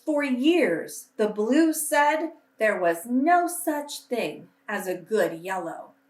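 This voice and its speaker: Echoey distant recording, woman, children's read-aloud, slow pace, storyteller delivery. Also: a little high, very animated. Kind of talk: children's picture book read-aloud with casual introduction. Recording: roomy echo, distant microphone.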